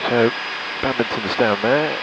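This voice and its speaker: A man's voice: medium pitch, a little slow, highs cut off, heavy background noise. A man talking as a pilot, mixing easygoing, jokey casual chat with cockpit radio calls and commentary. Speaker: pilot and vlogger. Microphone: headset. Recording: engine drone, radio headset in small plane.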